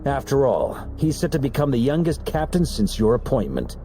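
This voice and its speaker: A man's voice: medium pitch, wildly swooping pitch, moderate pace, warm tone, faint music behind. A man voicing a confident, commanding knight leader in a dramatic video game scene.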